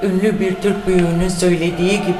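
A woman's voice: on the low side, level tone, quick pace, echoey room, noisy background, lightly acted. A woman playing a frustrated, childless wife who complains in prayer. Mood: complaining prayer. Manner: complaining, exasperated.

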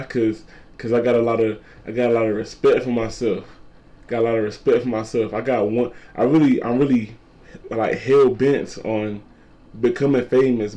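A twenty-year-old man with a deep voice, a content creator talking casually to camera and repeating his points. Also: dark tone. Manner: repetitive, laid-back slangy talk, repeats lines. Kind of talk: casual vlog talk to camera.

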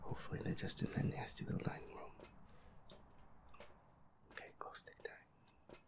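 A man muttering feisty, sweary commentary to himself as he plays a horror game, in a breathy voice. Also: moderate pace, whispered. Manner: feisty, grumbling, swears constantly. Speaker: gamer playing a horror game as audio roleplay character. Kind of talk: hushed gaming commentary, muttering to self.

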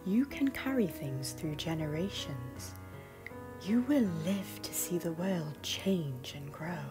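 A grave and caring mage queen from a fantasy cartoon, acted by a woman. Her low female voice moves expressively through formal, measured phrases. Soft music plays behind her. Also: animated. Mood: gravely reassuring. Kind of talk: dramatic animated fantasy dialogue.

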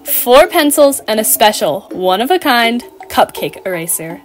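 A woman's voice: a little high, sing-song, measured pace, soft background music. A woman playing an earnest, bookish, obsessive young schoolgirl in a doll cartoon, explaining herself. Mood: proud.

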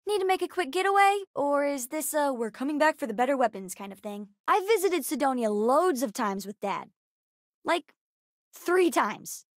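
A woman voicing a bright, bookish, chatty young girl with an American accent, as a video game character. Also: high-pitched, moderate pace, dry recording, clean studio sound. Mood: chatty, curious. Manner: chatty, expressive, youthful.

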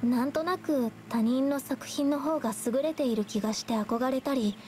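A high-pitched young woman painter from an anime game, acted by a woman, shy and self-doubting. She speaks softly and earnestly in a hesitant, reflective way, with clear background music. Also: moderate pace, lightly acted. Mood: wistful.

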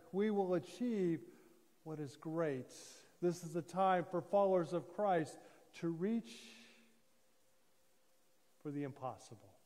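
Slow, high-pitched male preacher in a reverberant hall. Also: mellow. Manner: solemn, deliberate phrasing with pauses and repetition. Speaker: pastor preaching. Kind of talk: sermon, measured oratory. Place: church sanctuary, indoors at a lectern. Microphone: gooseneck lectern microphone. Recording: reverberant church hall sound.

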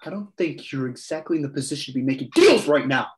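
A man's voice: a little high, expressive, fast, natural voice. A young man reading the part of a taunting, vengeful Venezuelan gunman in a play over a video call. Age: young adult. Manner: taunting, slips into Spanish. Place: room at home. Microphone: computer mic on video call.

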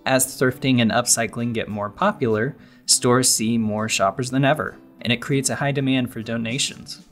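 A man's voice: mid-range voice, steady tone, normal speed, fairly bright tone, faint music behind. A man hosting a podcast, delivering scripted narration. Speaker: podcast host.

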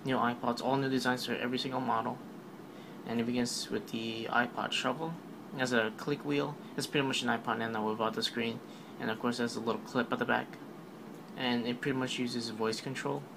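Casual male narrator, monotone, rambling, noisy home voice-over. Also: medium pitch, a little fast, vocal fry. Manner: offhand, rambling, filler-heavy. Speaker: tech video narrator. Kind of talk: casual voice-over recap of a keynote.

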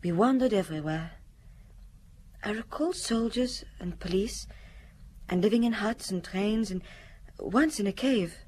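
A woman playing a sad, quiet young refugee widow in a radio drama, speaking in a halting, reflective way. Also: mid-range voice, husky. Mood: sad, remembering.

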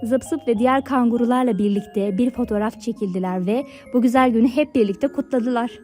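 A woman narrating a scripted fairy tale for children in a clear storybook manner. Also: on the high side, fairly quick, darkish tone. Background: background music.

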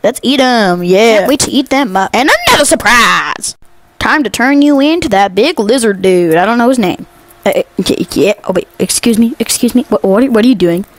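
Female amateur comic dubber, higher-pitched, lively swings, silly and chatty. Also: fairly quick, dry recording. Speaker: amateur voice-over performer. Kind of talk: improvised comic movie dubbing.

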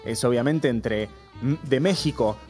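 A man's voice: mid-range voice, unhurried, darkish tone, soft background music. A man in his thirties with an Argentine accent, co-hosting a casual studio chat and explaining things earnestly into a close microphone. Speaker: co-host. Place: streaming studio. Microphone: close studio microphone with headphones.

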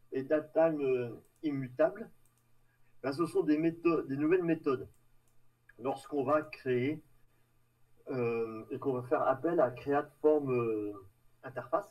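A man giving a live technical presentation in a halting, hesitant way, trailing off between phrases. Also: fairly high pitch, lo-fi sound. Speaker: tech meetup presenter. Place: online video meeting with screen share. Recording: distant mic on online call.

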